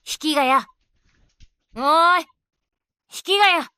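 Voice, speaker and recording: A woman's voice: high voice, normal speed, bright, forceful tone, no echo, studio quality. A woman voicing a worldly adult schoolteacher in a visual novel, speaking in a blunt, informal, tomboyish way. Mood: casual, relieved. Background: guitar game music.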